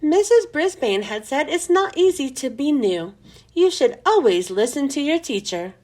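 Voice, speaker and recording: High, animated woman reading a children's story. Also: normal speed. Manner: animated, storytelling for young listeners. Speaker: children's book read-aloud host. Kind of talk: expressive scripted children's story narration.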